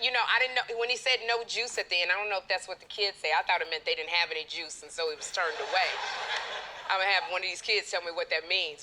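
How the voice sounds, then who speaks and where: Animated, bright-voiced woman talk show host. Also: a little hiss or hum. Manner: animated, playful gossip, jumps up high for emphasis. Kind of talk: studio talk show monologue. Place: TV studio with live audience.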